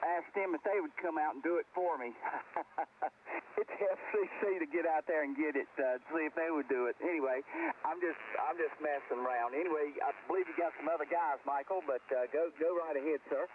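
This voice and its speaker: A man's voice: a little high, fairly quick. An easygoing, plain-spoken man chatting casually as a ham operator over shortwave radio. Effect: shortwave single-sideband radio. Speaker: amateur radio group member. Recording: tinny shortwave radio, faint static.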